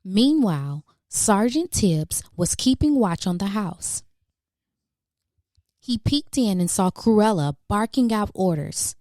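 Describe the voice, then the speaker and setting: A woman narrating a children's storybook expressively, with measured, dramatic emphasis for young listeners. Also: medium pitch, animated, darkish tone, no echo, studio quality. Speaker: children's book reader.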